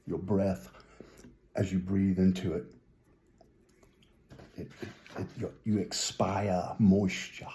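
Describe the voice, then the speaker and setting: A man's voice: deep voice, level tone, takes it slowly, pauses often, warm tone, echoey room. A man reading a children's story aloud, with whimsical, musing asides to the listener. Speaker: storybook reader.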